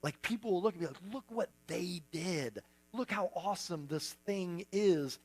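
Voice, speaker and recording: Male pastor, slightly higher voice, chatty sermon delivery with lots of "you know" asides. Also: normal speed, breathy edge, soft background music.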